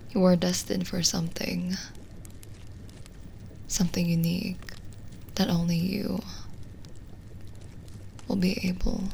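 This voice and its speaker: A woman playing a lonely, gentle witch in an ASMR roleplay, speaking softly and soothingly, often slipping into a whisper. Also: lowish voice, creaky, a little hiss or hum, exaggerated voice. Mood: hopeful, tender. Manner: slow, hushed, soothing, with pauses. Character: lonely, gentle witch reading a guest's palm. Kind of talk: soft-spoken ASMR roleplay, partly whispered.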